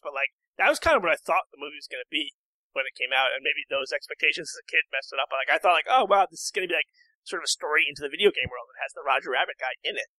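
A man's voice: fairly high pitch, sharp tone, dry recording, low-quality recording. A man speaking as a panel guest in a casual discussion.

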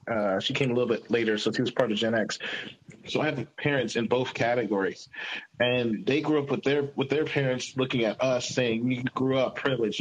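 A man in his thirties from Houston hosts a casual discussion in a husky voice. He speaks earnestly, often halting and restarting his sentences. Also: medium pitch, rough recording. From Houston, United States. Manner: earnest, hesitant, many restarts. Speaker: podcast host.

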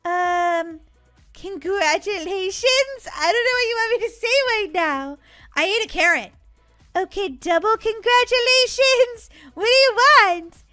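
A woman voicing excitable, dramatic young sisters in a gaming roleplay skit. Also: extremely high pitch, exaggerated voice. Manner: dramatic, whiny, exclaiming. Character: excitable, dramatic girl gamer and her bickering sister.

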